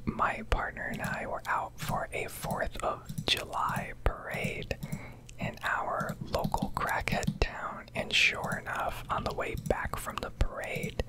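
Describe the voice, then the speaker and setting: A woman's voice: slow pace, poor audio. A woman whispering a rambling, casually sweary ASMR commentary over gameplay, close to the microphone. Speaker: game streamer.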